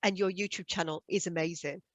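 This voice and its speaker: A woman's voice: lowish voice, fairly even intonation, brisk, no echo. A woman hosting a video call interview, asking questions with enthusiasm and effusive praise. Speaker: host, hypnosis trainer.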